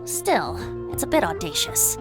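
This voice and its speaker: A woman's voice: lowish voice, sing-song, measured pace, loud music behind the voice. A woman voicing a whimsical, youthful boy bard in a video game, with playful, flowery, mock-grand phrasing. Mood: playfully indignant. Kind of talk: animated video game voice acting.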